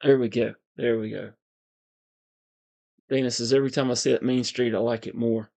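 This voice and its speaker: A man with a calm, soothing voice, hosting a casual livestream, reading viewer comments in a relaxed, meandering way. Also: medium pitch, normal speed, gravelly. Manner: relaxed, meandering, frequent fillers and soft chuckles. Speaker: livestream host.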